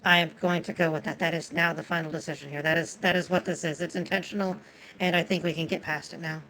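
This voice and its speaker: A woman's voice: deep voice, fairly even intonation, fast, gravelly, a bit bright, rough recording. A woman whose first language is Japanese, chatting casually and profanely with viewers while streaming a game.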